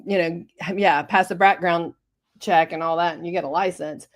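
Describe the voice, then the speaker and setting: A middle-aged woman speaks over a video call, her voice slightly low and scratchy as she recovers from bronchitis. She talks in a halting way, with frequent ums and restarts. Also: fairly even intonation. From Tennessee. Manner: hesitant, many fillers. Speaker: guest, health coach. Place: home office.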